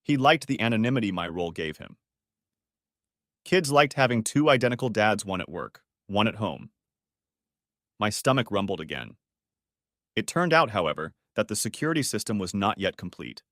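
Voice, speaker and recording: A man's voice: on the low side, moderate pace, long pauses, dry recording, clean studio sound. A man narrating a first-person story in scripted storytelling style. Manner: expressive storytelling.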